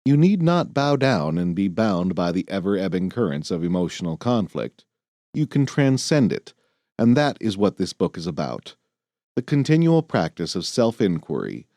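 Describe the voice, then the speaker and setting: A man reading a nonfiction audiobook in a measured, earnest, clearly phrased way. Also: on the low side, warm tone, no room sound, studio quality. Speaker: audiobook narrator. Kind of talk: scripted nonfiction audiobook narration.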